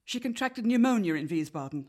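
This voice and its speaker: A woman's voice: medium pitch, lively intonation, a little fast, dark tone, natural voice. A woman playing a grieving, bitterly disappointed genteel Victorian lady in a radio play. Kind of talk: period radio play acting.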